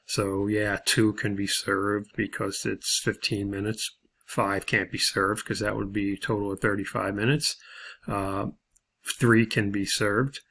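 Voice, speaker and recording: A man's voice: lowish voice, a bit bright. A man giving an informal video lesson as an instructor, explaining methodically step by step in a hesitant way.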